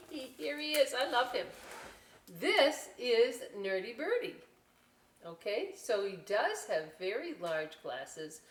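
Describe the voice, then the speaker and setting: A woman's voice: a little high, big pitch swings, slow, slight background noise. An American woman presenting a children's story time, reading a picture book aloud expressively and chatting through folksy, explanatory asides. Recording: roomy echo, distant microphone.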